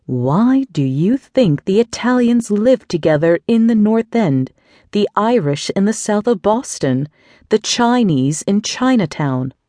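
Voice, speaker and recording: A woman voicing a haughty, domineering older aunt who speaks slowly with scorn. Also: medium pitch, big pitch swings, mellow, no room sound, clean studio sound, voice pushed up. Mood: lecturing. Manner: slow, disdainful, seething.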